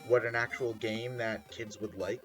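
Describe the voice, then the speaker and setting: A man's voice: lowish voice, fairly even intonation, normal speed, faint music behind. A man giving casual commentary on a game in a group chat.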